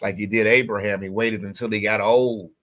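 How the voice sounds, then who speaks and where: A man with a fairly low voice, talking casually on a radio talk show. He chimes in with agreement and retells Bible stories in a relaxed, conversational way. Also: a little fast. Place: radio studio.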